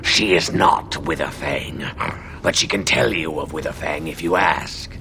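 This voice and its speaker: A very low, gravelly werewolf guardian, gruff and wary. The delivery is stern and threatening, in fantasy game style. Clear noise sits behind the voice. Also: male voice, unhurried, heavily acted. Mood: guarded, solemn. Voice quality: deep, gravelly. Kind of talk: fantasy video game acting.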